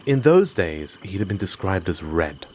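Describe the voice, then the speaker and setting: A man's voice: low-pitched, big pitch swings, normal speed, darkish tone, a little hiss or hum. A man from Morocco hosting a podcast, giving scripted documentary narration in an emphatic, personal storytelling manner. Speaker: podcast host.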